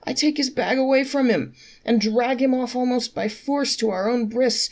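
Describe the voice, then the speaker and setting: A woman's voice: medium pitch, moderate pace, creaky, slightly rough. A woman reading a story, voicing a shrewd male innkeeper who talks in a wheedling, mock-innocent way. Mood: mock-offended.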